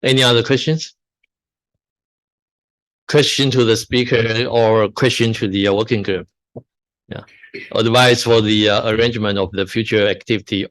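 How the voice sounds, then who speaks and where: A man's voice: lowish voice, a bit bright, low-quality recording. A man answering audience questions at a conference, in brief replies with frequent yeses. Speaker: conference speaker and session chair. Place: conference room.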